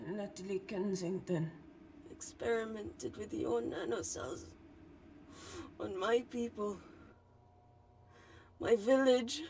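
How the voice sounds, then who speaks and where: An actress playing a young village intern in a film drama, speaking softly and haltingly about grief and betrayal. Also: female voice, medium pitch, a little hiss or hum. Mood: grieving, bitter. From Kindar, a fictional totalitarian state. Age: young adult.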